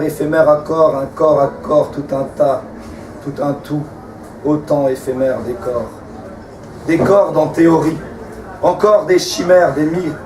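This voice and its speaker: Slow-paced man reciting slam poetry, roomy sound. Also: a little high, darkish tone. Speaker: slam poet. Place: live slam poetry evening, in a room. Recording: roomy, distant mic, faint noise.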